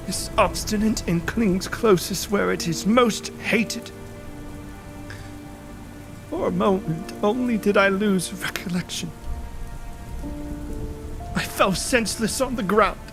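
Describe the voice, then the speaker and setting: Haunted young husband played by a man for gothic audiobook drama: high-pitched, theatrical, emotive, halting, over music and rain. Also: creaky, a bit bright, full character voice. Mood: grieving, anguished. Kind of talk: dramatic first-person audiobook narration.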